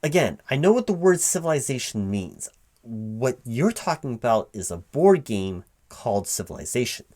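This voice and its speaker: A man playing a recruiting interviewer in a one-sided spoken ASMR roleplay, halting and repeating his words in a baffled, exasperated way. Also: medium pitch, sing-song, a little mellow. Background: computer keyboard typing.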